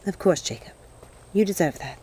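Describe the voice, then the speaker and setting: A woman's voice: on the low side, moderate pace, dark tone, slight background noise, natural voice. A woman voicing a desperate, grieving young witch in a storybook, pleading with emotion. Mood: sad, tender.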